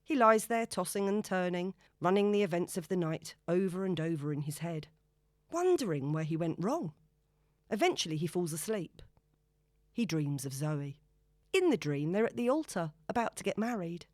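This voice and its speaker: Female audiobook narrator, very animated pitch, dramatic storytelling, expressive scripted reading, dry close sound. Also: no room sound.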